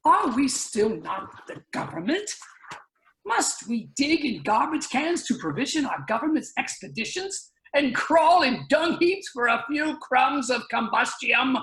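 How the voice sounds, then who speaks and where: A woman's voice: high voice, very animated, measured pace, a bit bright, roomy sound, heavily acted, low-quality recording. A woman playing a blustering, indignant official in a dramatic science fiction reading, with a declamatory, theatrical manner. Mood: indignant, demanding. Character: blustering, indignant, scowling futuristic government official named Smit. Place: online video call reading.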